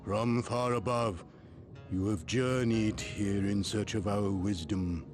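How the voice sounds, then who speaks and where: Slow, deep, solemn old elder. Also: male voice, steady tone. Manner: formal, measured, oracular. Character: solemn, wise old turtle-like Kojin clan elder. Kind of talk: video game voice acting. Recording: prominent music behind the voice.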